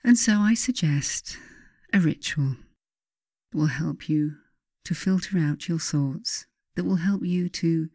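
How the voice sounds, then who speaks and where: A woman reading her own self-help writing as a meditative guide, in a measured, softly instructive way with deliberate pauses. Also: deep voice, no echo, studio quality.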